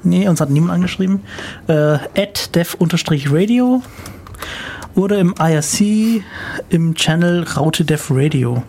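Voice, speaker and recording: A man's voice: fairly high pitch, expressive, a little slow, darkish tone, a little hiss or hum. A man co-hosting a live radio talk show from a studio, chatting casually and asking curious follow-up questions.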